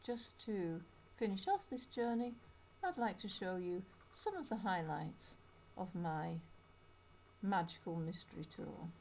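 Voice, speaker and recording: A woman's voice: medium pitch, dark tone, slight background noise, rough recording. A middle-aged woman with a Yorkshire accent, an English teacher chatting through her own story in a personal video. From England. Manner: chatty, storytelling with small asides.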